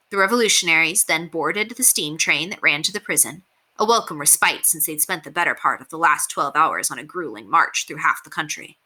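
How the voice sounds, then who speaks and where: Storybook reader: woman, lowish pitch, strikingly bright tone, expressive narration for kids' fiction. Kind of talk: expressive read-aloud narration of a children's novel.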